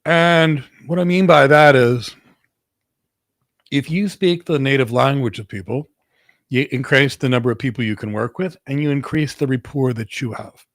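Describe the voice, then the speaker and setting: A man's voice: mid-range voice, moderate pace, dry recording, clean studio sound. A man from Greece with an American accent, hosting and answering viewers' career questions in an emphatic way, often repeating words for stress. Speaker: host, cloud architect.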